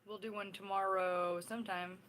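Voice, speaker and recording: A woman's voice: medium pitch, little pitch movement, moderate pace, a bit bright. A woman in her thirties hosting a casual livestream, rambling and chatting straight to her viewers. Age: 30s. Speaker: livestream host.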